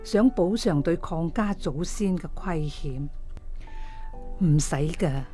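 An elderly mother-in-law in a radio play, with a very low voice and very unhurried delivery. She is gentle, accepting and reassuring, over faint music. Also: animated. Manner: slow, measured, reassuring. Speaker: radio drama actor. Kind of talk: radio drama acting.

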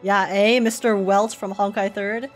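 A young woman livestreaming a playful, slangy, excitable reaction to a game. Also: medium pitch, a little slow, soft background music. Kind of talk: casual livestream reaction commentary.

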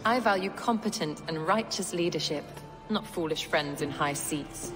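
An actress playing a dignified, earnest medieval abbess in a video game, speaking formally and solemnly. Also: female voice, lowish voice, moderate pace, music in the background, natural voice. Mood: earnest counsel.

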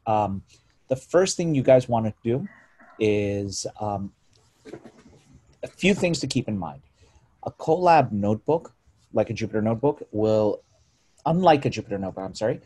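A man's voice: lowish voice, sing-song, normal speed, dark tone, slightly rough. A man presenting a live screen-share coding tutorial on a video call, informal and self-correcting as he talks. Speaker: meetup presenter.

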